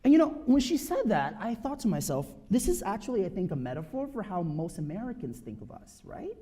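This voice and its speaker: A man in his thirties from the Philippines giving a personal talk to an audience, earnest and emphatic, often checking in with a quick "right?". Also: high voice, sing-song, warm tone, slight background noise.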